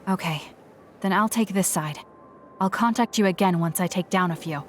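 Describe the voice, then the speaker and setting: A woman's voice: lowish voice, moderate pace, a little hiss or hum, natural voice. An actress playing a stoic, blunt young woman fighter in a video game, speaking in a matter-of-fact, terse way. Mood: focused. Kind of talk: English video game voice acting.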